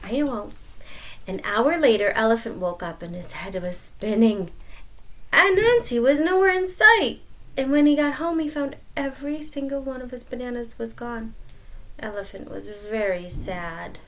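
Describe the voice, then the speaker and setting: A woman reading a children's picture book aloud as a storyteller, expressive, with dramatic pauses and emphasis. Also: fairly high pitch.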